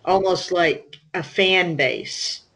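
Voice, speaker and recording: A woman's voice: on the low side, slow pace, vocal fry, a crowd in the background. A woman on a panel speaking from home through a headset, hesitant and full of fillers. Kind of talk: casual panel discussion.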